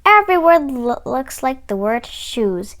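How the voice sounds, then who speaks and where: High, lively woman reading a children's story. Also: a little slow. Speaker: children's read-aloud channel host. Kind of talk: slow, expressive picture-book read-aloud for children.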